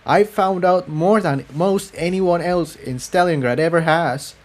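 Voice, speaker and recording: A man's voice: on the high side, unhurried, voice pushed up, lightly acted. A man voicing a female pony defector in a story, weary and hesitant, choosing words carefully. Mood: earnest.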